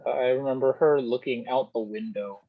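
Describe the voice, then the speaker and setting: A male student giving hesitant answers to questions, pausing to think as he goes. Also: mid-range voice, fairly even intonation, phone-quality sound, a little hiss or hum. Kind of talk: halting spoken answers.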